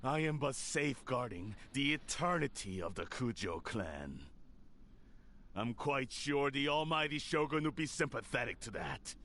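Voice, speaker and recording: An actor playing a scheming older clan head who speaks in a haughty, condescending way, in a video game. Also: male voice, mid-range voice, very animated, unhurried, pushed and bright, slight background noise. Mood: smug.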